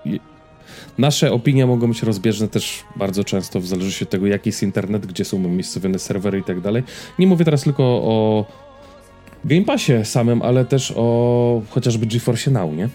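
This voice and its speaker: Mellow-voiced man in casual podcast chat. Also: lowish voice, moderate pace, soft background music. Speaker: podcast co-host. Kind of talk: casual tech and gaming chat.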